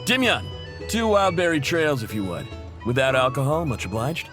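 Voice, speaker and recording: Casting: easygoing game machinist, played by a man, mid-pitched, lively and expressive, modest and chatty, music underneath. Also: moderate pace, close to an everyday voice. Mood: jovial, welcoming. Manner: affable, conversational, self-deprecating. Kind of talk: video game character acting. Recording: music behind the voice.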